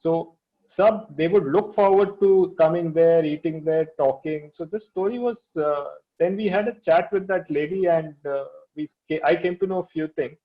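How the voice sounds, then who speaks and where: An Indian-accented man speaks as a guest storyteller in a casual, conversational way. His voice sits a little high, and the recording is somewhat dull and lo-fi. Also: normal speed. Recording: dull lo-fi audio.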